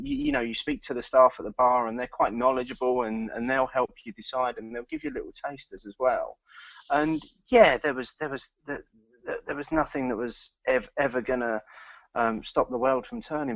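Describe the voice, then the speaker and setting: A man co-hosting a podcast speaks at a middling pitch in a halting, easygoing way, often stumbling over and repeating his words. The sound is dry and dead, with a slightly dull, lo-fi tone. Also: creaky, a bit bright, dry recording. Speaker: podcast co-host. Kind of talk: casual chat.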